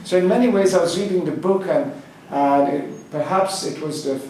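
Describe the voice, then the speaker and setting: A man whose first language is Farsi, giving a public talk at a book gathering, with many pauses, fillers and restarts. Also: a little high, mellow, slight background noise. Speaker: guest speaker at a book club gathering. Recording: echoey hall, far from the mic.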